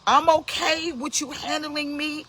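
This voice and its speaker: A woman's voice: high voice, steady tone, a little slow, bright, forceful tone. A woman in her fifties hosting a casual motivational talk, emphatic and preachy, repeating her key points. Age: 50s. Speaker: host, pastor.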